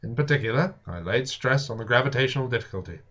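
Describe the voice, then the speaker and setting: A man reading a classic science fiction novel aloud as dramatic narration, with deliberate, expressive, theatrical phrasing. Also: mid-range voice. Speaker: audiobook reader. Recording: dull lo-fi home recording.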